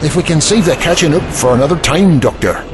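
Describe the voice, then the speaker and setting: A man's voice: a little high, lively intonation, fast, music in the background, close to an everyday voice. A man with a Scottish accent playing an impatient, sardonic Prime Minister in an audio drama. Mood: commanding. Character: brusque, sarcastic Prime Minister commanding under attack.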